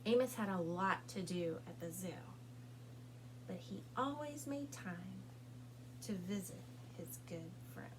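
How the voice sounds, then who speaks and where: A woman reading a children's picture book aloud as a storytime host, with deliberate, expressive phrasing and pauses for young listeners. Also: medium pitch, noisy background. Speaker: storytime reader at a public library.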